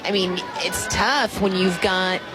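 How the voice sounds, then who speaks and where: An American woman giving conversational live analysis as the color commentator on a softball radio broadcast. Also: lowish voice, steady tone, slow pace. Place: outdoor softball stadium. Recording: loud crowd and music, outdoor stadium broadcast. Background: loud crowd and music.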